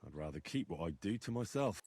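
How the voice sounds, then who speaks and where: Husky, guarded medieval man. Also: sits low, big pitch swings, brisk, a little mellow, dry recording, exaggerated voice. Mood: wry, reserved. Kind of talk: video game dialogue acting.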